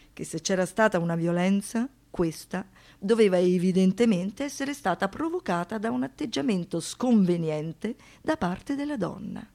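A woman giving a dramatic, theatrical recitation in a deliberate way, as a monologue performer. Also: medium pitch, a little mellow. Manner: deliberate, repeats phrases for poetic effect.

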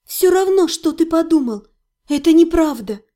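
Woman acting a high-pitched, pleading young heroine. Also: animated, normal speed, warm tone. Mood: defensive, pleading. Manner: pleading, flustered, defensive. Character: distraught young woman pleading with her fiancé. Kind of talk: dramatized audiobook dialogue.

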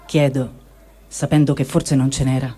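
A man reading a first-person war diary aloud in a calm, unhurried way. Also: medium pitch, breathy edge. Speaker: reader.

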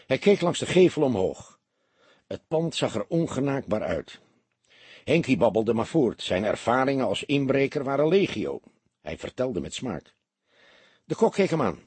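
A man narrating a literary crime story as an audiobook, in an expressive storytelling manner. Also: mid-range voice, moderate pace, slightly rough, dry recording.

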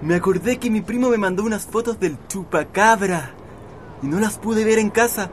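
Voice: mid-range voice, normal speed, slight background noise, close to an everyday voice. A performer playing an enthusiastic, laid-back young boy with a Chilean accent in a radio drama. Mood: excited.

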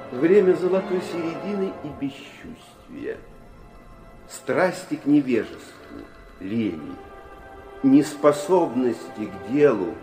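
An actor playing an ironic, philosophical middle-aged aristocrat in a radio drama, musing in a languid, theatrical way. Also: male voice, a little high, warm tone.

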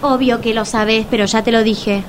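A woman's voice: a little high, steady tone, normal speed, slight background noise, natural voice. An actress with a Rioplatense Spanish accent playing a pushy, upbeat woman who coaxes her friend. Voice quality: pushy, coaxing.

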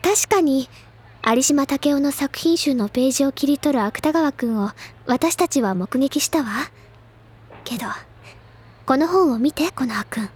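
A woman's voice: sits high, lively intonation, a little slow. A woman playing an earnest, bookish teenage schoolgirl in a Japanese audio drama, speaking in refined, effusive feminine phrases. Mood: earnest, thoughtful. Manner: effusive, refined feminine speech, gently persuasive. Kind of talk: Japanese audio drama, anime-style acting.

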